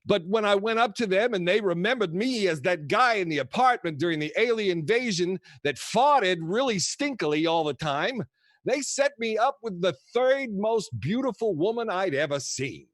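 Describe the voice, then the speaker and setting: Man playing a kooky old grandpa, animated and high-pitched. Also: dry recording, studio quality. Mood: rambling. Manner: theatrical, wistful, halting storyteller. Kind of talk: comedic audio play.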